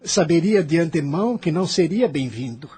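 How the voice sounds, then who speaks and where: A man's voice: fairly high pitch, lively intonation, brisk, mellow, husky. An actor with a Brazilian accent playing an embittered, stubborn immigrant man who argues with emphatic self-pity in an audio novel. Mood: resentful arguing. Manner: argumentative, self-pitying, emphatic. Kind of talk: dramatized audio novel dialogue.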